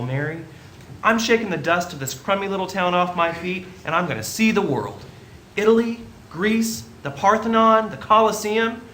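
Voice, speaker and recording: An actor playing an eager, restless young small-town dreamer, earnest and exclamatory, in a stage radio play. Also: male voice, high-pitched, fairly bright tone. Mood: boastful, excited. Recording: echoey theatre stage, faint noise.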